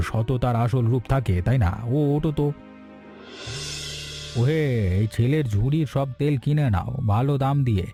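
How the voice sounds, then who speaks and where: A man playing a kindly, generous wealthy gentleman in a cartoon fairy tale, warm and benevolent in manner. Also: mid-range voice, lightly acted.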